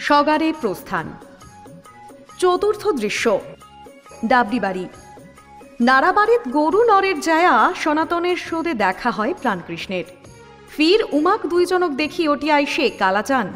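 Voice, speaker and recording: A narrator with a Kamtapuri accent reading stage directions formally, heard from far off. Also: female voice, sits high, big pitch swings, unhurried, long pauses, fairly bright tone, big-room sound, soft background music, voice pushed up, exaggerated voice. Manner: announcing, formal. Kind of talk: scripted narration.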